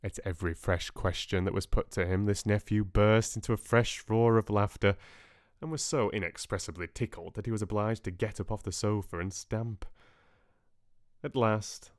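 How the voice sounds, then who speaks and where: A man reading classic literature as an audiobook narrator, with expressive, dramatic storytelling. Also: lowish voice, moderate pace, dry recording, clean studio sound.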